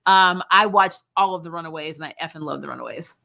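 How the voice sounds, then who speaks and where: A woman hosting a casual fan talk, blunt and emphatic, lightly swearing and quick to laugh. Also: sits low, creaky, bright, forceful tone. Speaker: host of a fan review show. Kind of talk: casual chat, answering viewer comments.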